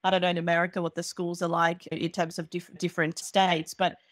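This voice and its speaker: A woman from Australia hosting a podcast, chatting casually and relating to her guest with lively stories of her own. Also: fairly low pitch, brisk, no echo, clean studio sound. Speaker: podcast host. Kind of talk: casual chat.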